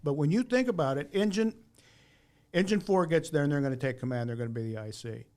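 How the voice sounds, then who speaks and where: A man's voice: on the high side, fast, darkish tone. A man chatting casually about his trade on a podcast, plainspoken, folksy and emphatic. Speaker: podcast panelist and former firefighter. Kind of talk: casual opinionated shop talk.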